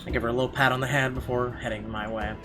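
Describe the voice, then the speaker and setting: A man's voice: medium pitch, little pitch movement, rapid-fire. Man narrating dramatically over music. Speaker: gamer reading a visual novel aloud. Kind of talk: dramatic first-person story narration. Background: guitar music.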